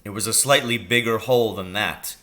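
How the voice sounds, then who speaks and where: Man playing a measured, weary storybook father. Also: fairly low pitch, pushed and bright. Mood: matter-of-fact, steady. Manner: measured, understated, slow. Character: injured, weary father, wry and steady poacher.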